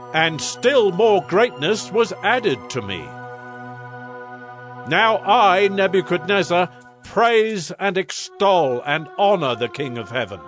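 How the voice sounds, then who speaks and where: A man's voice: high-pitched, exaggerated voice. An actor playing a proud Babylonian king in a dramatized scripture reading, with a stately, declamatory delivery.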